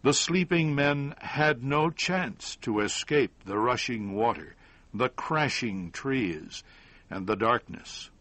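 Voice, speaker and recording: A man reads a story as a narrator, taking his time over each phrase. His pitch moves expressively across the lines, and the recording is dry and close, with very little room sound. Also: lively intonation, fairly bright tone, dry recording. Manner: measured, deliberate phrasing with short pauses. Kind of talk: scripted audiobook-style story narration.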